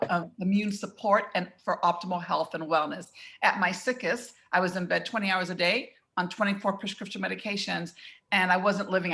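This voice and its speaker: A woman with a low, gravelly voice gives an earnest wellness pitch. She speaks at a personal, steady pace with plenty of fry. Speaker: wellness product seller. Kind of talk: short networking pitch.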